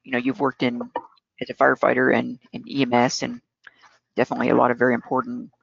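A male podcast host rattles off his questions quickly, with a gravelly edge to his voice. The recording is dry and close, with dull lo-fi sound. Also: mid-range voice, monotone, fairly bright tone, no echo. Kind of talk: interview questions.

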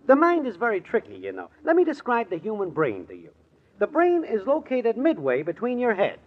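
A man's voice: high-pitched, huge pitch swings, a little fast, heavily acted. Swooping, slangy wise-guy boyfriend. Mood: cocksure, coaxing. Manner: slangy, confidently ignorant. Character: breezy, shiftless city wise-guy boyfriend, cheerfully ignorant. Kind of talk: 1940s radio comedy acting. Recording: muffled noisy old radio, audience.

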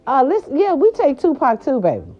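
A grandmother from California talking casually to her viewers, animated and chatty, with salty slang and lots of "right, right" fillers. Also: high-pitched, brisk. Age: older. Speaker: vlogger. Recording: dull lo-fi sound.

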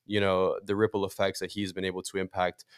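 A young man from Miami with Portuguese as his first language hosts a podcast in chatty, upbeat talk. His voice is low and smooth, recorded close and dry with no room sound. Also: completely flat delivery, fast, no room sound. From Miami, USA. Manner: enthusiastic, chatty, with many fillers. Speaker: podcast host. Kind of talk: casual interview conversation.

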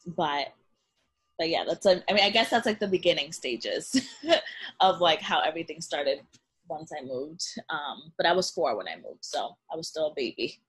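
A young woman from the Dominican Republic talking in a relaxed way as a podcast guest, laughing often and using many fillers. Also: low-pitched, moderate pace, breathy edge. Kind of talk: casual interview chat.

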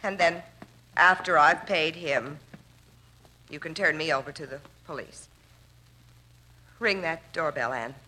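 A woman's voice: deep voice, a little slow, long pauses, sharp tone, exaggerated voice, low-quality recording. An actress playing a scheming, determined woman in a 1940s radio drama, speaking precisely and melodramatically. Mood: tense, commanding. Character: scheming, determined woman feigning grief, threatening at gunpoint.

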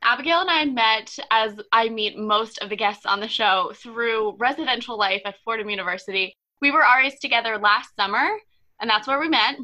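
A young woman in her twenties hosts a casual podcast, gushing and enthusiastic. Her voice is bright, with a clear, forward edge, and sits a little high. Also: normal speed. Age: 20s. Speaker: podcast host.

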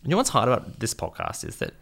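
A man's voice: medium pitch, huge pitch swings, fast talker, creaky, fairly bright tone. A man co-hosting a podcast, chatting casually in a rambling, self-deprecating, joking way. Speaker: podcast co-host. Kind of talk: casual chat.

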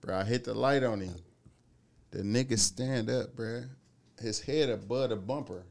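A man in his thirties from the Cleveland area telling animated, slangy stories in casual chat, swearing freely. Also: medium pitch, normal speed, gravelly. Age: 30s.

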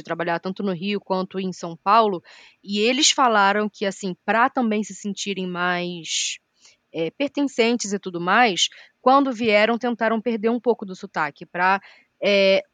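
A woman from Brazil speaking at a middle pitch, thoughtful and measured, weighing her words. Her voice is recorded close and dry. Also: a bit bright, no echo. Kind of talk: casual chat.

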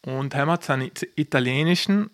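A man's voice: fairly high pitch, brisk, fairly bright tone. A man in his thirties with a South Tyrolean accent, telling his own story casually and enthusiastically as a podcast guest, jumping between half-finished sentences. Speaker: podcast guest, professional poker player. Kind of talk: casual chat, personal storytelling.

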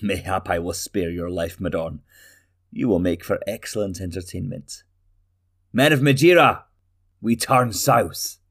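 A man's voice: low voice, little pitch movement, normal speed, darkish tone. A man doing a mocking warrior king.

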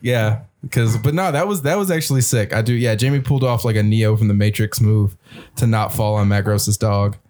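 A man's voice: fairly low pitch, brisk, darkish tone. A man hosting a podcast, joking with his co-hosts in loose, rambling banter full of "like". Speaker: podcast host. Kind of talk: casual banter.

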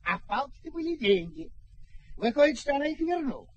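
An actor playing an excitable, boastful peasant turned governor in a radio play, repeating his words and exclaiming with self-satisfaction. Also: extremely high pitch, brisk, lo-fi sound. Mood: smug, pleased. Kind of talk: mid-century Soviet radio play acting. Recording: old noisy radio drama recording.

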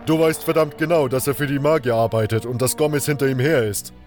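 A man voicing a loyal, hot-tempered fighter in an audiobook, speaking bluntly and forcefully. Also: medium pitch, steady tone, quick pace, faint music behind, voice pushed up, natural voice. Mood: angry, urgent. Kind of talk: audiobook character dialogue.

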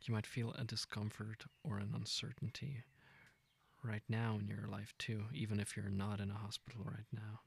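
A man leading a guided meditation, speaking gently and informally in an unhurried, intimate way. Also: lowish voice, level tone, gravelly, breathy edge. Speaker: meditation guide, podcast host.

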